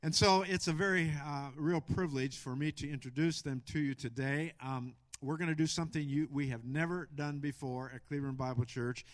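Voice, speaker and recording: A middle-aged man, a pastor, introducing guests and leading a spoken prayer in church, pausing often with fillers. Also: fairly high pitch, fairly even intonation. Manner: halting, with frequent filler pauses. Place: church sanctuary.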